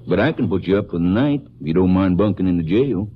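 An actor playing a stubborn older small-town Texas constable with plain, folksy country talk in an old radio crime drama. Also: male voice, sits low, animated, fast talker. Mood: easygoing. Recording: muffled, noisy old radio recording.

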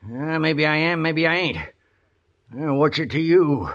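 An actor playing a wily, ornery old outlaw with a broken leg in a radio western, in a folksy American Western drawl with halting exclamations. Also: male voice, a little high, animated, fairly bright tone. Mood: ornery, wary. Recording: dull, noisy old radio recording.

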